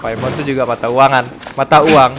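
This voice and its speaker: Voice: mid-range voice, steady tone, quick pace. An Indonesian game streamer of unclear sex giving informal, slangy gameplay commentary. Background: loud game music.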